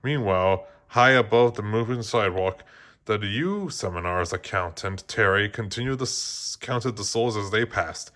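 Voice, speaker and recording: A man's voice: fairly low pitch, normal speed, fairly bright tone. A man reading a children's storybook aloud as narrator, often stumbling and restarting his phrases. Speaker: storybook reader.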